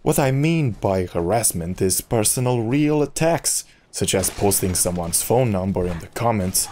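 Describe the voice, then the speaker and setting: Low-voiced man, candid vlog with background noise. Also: animated, unhurried, mellow. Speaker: YouTuber who makes game videos. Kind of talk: personal vlog monologue to subscribers.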